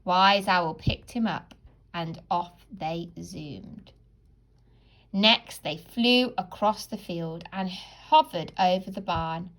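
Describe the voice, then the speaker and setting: A woman narrating a children's storybook like a teacher, in a dramatic, deliberate and expressive way. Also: mid-range voice, poor audio.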